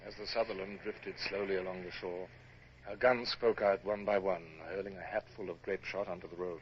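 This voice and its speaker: A man's voice: deep voice, steady tone, brisk, lightly acted. A commanding British naval captain , acted by a man in an old radio play. He speaks formally and with clipped authority, yet with humane gravity. The recording is dull, with faint noise. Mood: sorrowful. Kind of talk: radio drama acting and first-person narration.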